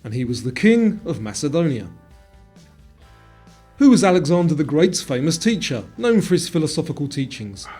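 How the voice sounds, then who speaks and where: Quiz narrator guy, really up and down intonation, bit husky, guitar music behind him. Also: a little high, sing-song, normal speed, dark tone.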